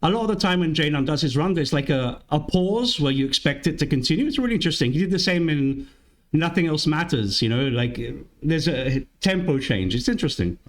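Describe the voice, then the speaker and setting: This is a man with Italian as his first language, hosting a music reaction channel with relaxed, chatty commentary. His pitch swings widely as he muses through his thoughts. He often breaks off and restarts sentences. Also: brisk. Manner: chatty and musing, with frequent restarts.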